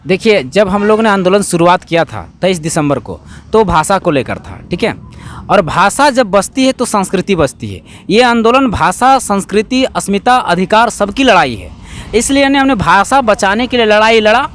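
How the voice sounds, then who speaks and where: A high-voiced man with a Jharkhandi accent gives explanatory answers in an interview. He speaks emphatically, repeating phrases and asking rhetorical questions. Faint outdoor street and vehicle noise sits behind him. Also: very animated, moderate pace, a bit bright. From Jharkhand, India. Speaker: activist being interviewed.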